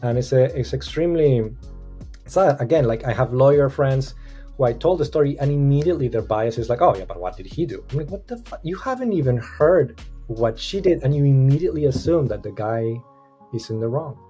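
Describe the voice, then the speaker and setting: A man from Costa Rica with a mellow, relaxed tone talks in an animated, casual way, often stumbling and restarting. He acts out the people he quotes in his own voice. Faint music runs quietly underneath. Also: mid-range voice, brisk, slightly rough. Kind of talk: casual podcast discussion.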